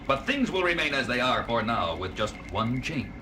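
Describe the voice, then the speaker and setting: An actor playing an immortal alien villain, sadistic and menacing, in a theatrical audio drama style. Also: male voice, medium pitch, big pitch swings, moderate pace, pushed and bright, slight background noise. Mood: gloating menace. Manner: theatrical, gloating, laughs cruelly. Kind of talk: 1970s audio drama acting. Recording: music under voice.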